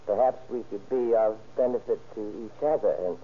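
Husky, halting businessman, played by a man, old radio. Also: fairly low pitch, steady tone, lightly acted. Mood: probing, polite. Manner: halting, clipped non-native phrasing with polite hesitations. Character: polite, guarded Chinese businessman running shady letters. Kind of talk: old-time radio crime drama acting. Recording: muffled, lo-fi old radio recording.

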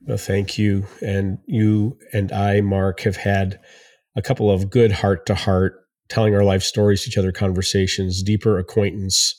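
A man's voice: fairly low pitch, flat delivery, moderate pace, a little mellow, no room sound, clean studio sound. A man co-hosting a podcast, talking in an earnest, reflective way. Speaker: podcast co-host. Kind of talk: casual conversation.

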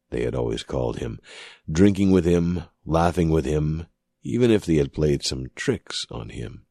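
A man narrating scripted fiction as an audiobook reader, with dramatic, measured and deliberate phrasing. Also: very deep voice, mellow, dry recording, clean studio sound.

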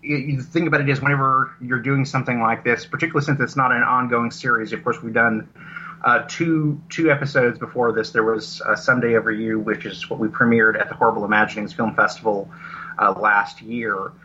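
A man talking casually as an interview guest, explaining his audio drama work in a halting, rambling, self-correcting way. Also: mid-range voice, fairly even intonation, pushed and bright, slight background noise. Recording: dull lo-fi connection.

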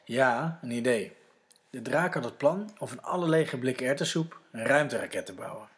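A man's voice: mid-range voice, animated, normal speed. A man with a Netherlands Dutch accent, narrating a scripted story for children.

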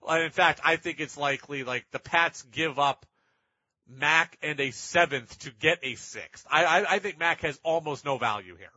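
A man's voice: on the high side, moderate pace, sharp tone, slightly rough, dry recording, highs cut off. A man hosting sports talk radio, giving opinionated commentary in an emphatic way and repeating points for effect.

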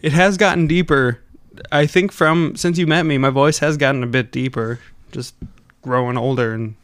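A young man with a Midwestern Wisconsin accent who rambles and corrects himself as he co-hosts a chatty podcast. His voice is smooth and clear, recorded close and dry with no room sound. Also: mid-range voice, fairly even intonation, a bit bright, dry recording. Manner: rambling, self-correcting, quick to laugh. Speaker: podcast co-host. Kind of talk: casual chat.

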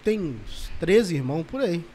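High-voiced chatty Brazilian host. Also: male voice, very animated, measured pace, a crowd in the background. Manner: friendly and chatty, asks short follow-up questions. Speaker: interviewer, host. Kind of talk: casual interview.

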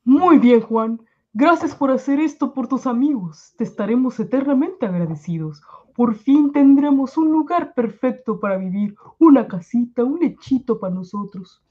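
Woman playing a high-voiced, grateful villager. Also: lively intonation, moderate pace, highs cut off. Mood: grateful, emotional. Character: grateful humble villager woman, deeply moved. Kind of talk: amateur Spanish-language radio drama acting.

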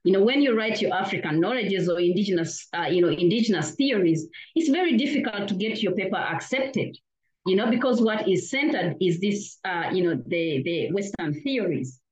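A woman from Uganda answers interview questions earnestly. She often restarts and leans on "you know". Her voice sits in a middle pitch, and the recording sounds dull and lo-fi. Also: expressive, moderate pace, darkish tone. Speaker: academic guest. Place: lives in Australia.

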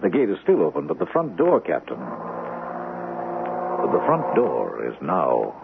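Smooth insistent physician, very animated intonation, measured pauses, radio play, tinny hissy recording. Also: male voice, a bass voice, heavily acted. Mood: solicitous, probing. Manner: measured, courteous, probing, with deliberate pauses. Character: smooth, probing physician, solicitous and quietly insistent. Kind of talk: old-time radio drama acting. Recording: tinny, narrow-band old radio recording, hiss.

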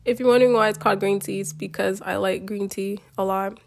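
A nineteen-year-old woman talks into her phone for her own podcast, her voice a little rough and hoarse from a cold. She rambles casually, filling pauses with ums and you-knows and breaking into small giggles. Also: mid-range voice, fairly even intonation. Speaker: podcast host. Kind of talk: casual solo podcast talk.

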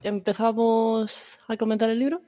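Galician woman, podcast host, chatty, mellow timbre. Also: a little high, steady tone, moderate pace. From Galicia, Spain. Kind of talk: casual book club chat.

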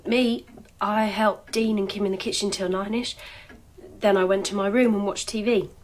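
A woman's voice: mid-range voice, steady tone, moderate pace, a little hiss or hum, lightly acted. Sulky, offhand young woman played by an actress. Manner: curt, colloquial, evasive. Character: sulky, offhand young female kitchen helper. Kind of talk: radio drama acting.

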